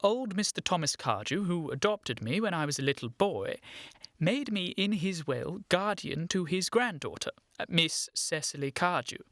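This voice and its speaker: An actor playing an earnest, evasive, easily flustered young English gentleman in a comic radio play, with a proper, indignant manner. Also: fairly high pitch, lively intonation, normal speed, no room sound, studio quality. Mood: stiff, guarded.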